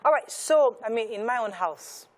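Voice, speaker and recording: A woman with a Nigerian accent hosting a talk show in animated panel chat. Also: a little high, normal speed.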